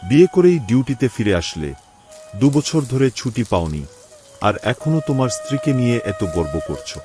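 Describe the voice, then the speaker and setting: A man plays a jovial soldier in a cartoon story, always ribbing his comrade. The voice is medium in pitch and swings animatedly, over faint background music. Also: very animated, moderate pace, warm tone, lightly acted. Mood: teasing.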